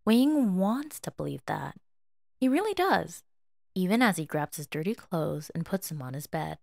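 A woman narrating a piece of fiction as an expressive, scripted audio story reading. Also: lowish voice, moderate pace, vocal fry, no room sound, studio quality.

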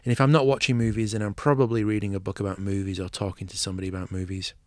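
A man's voice: on the low side, little pitch movement, rapid-fire, a little mellow, dry recording, clean studio sound. A man from England with a Manchester accent, hosting a movie podcast and chatting enthusiastically with a guest. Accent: Mancunian English. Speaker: podcast host. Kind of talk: scripted intro then casual interview.

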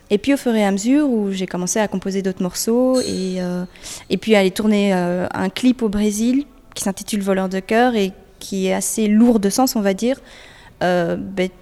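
A woman's voice: medium pitch, warm tone, big-room sound. A young woman singer-songwriter answering interview questions in a hesitant way, with many fillers and restarts. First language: French.

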